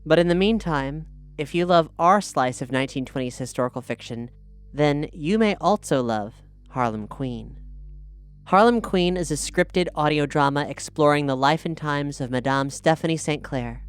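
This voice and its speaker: A podcast host giving an earnest, polished, scripted introduction to an audio drama. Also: mid-range voice.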